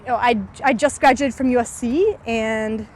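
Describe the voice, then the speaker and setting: A young woman from California answers interview questions while walking outdoors. Her voice sits high, and her speech is loose and relaxed, full of "like" and "um." Faint city noise hums under her. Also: moderate pace. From California, USA. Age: 20s. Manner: frequent "like" and "um" fillers, thoughtful. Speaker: startup founder interviewee.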